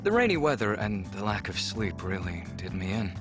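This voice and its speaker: An actor playing a polite, earnest, travel-weary alien scientist in a visual novel, speaking in a measured, explanatory way. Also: male voice, lowish voice, sing-song, over music. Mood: weary, reassuring. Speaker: game character.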